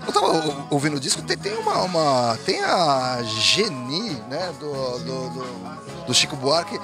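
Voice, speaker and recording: A man's voice: mid-range voice, big pitch swings, measured pace. A man hosting a music show, interviewing a band in a playful, teasing, theatrical way. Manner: jokey, teasing, theatrical outbursts. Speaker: show host. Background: band music in a rehearsal space.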